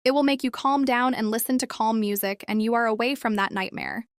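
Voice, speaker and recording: A woman's voice: fairly high pitch, a little fast, clear, resonant tone, no room sound, studio quality, natural voice. A woman playing a soothing therapist talking to a patient in an amateur horror drama. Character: soothing female therapist prescribing pills.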